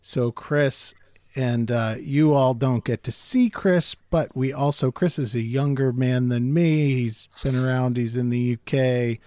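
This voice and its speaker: Middle-aged host, dry close sound, relaxed and conversational. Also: male voice, mid-range voice, unhurried, no room sound. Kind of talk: interview.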